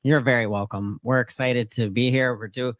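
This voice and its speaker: Male podcast host, mid-range pitch, upbeat promotional interview style, very little room sound. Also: fairly even intonation, normal speed, a bit bright, no echo, studio quality.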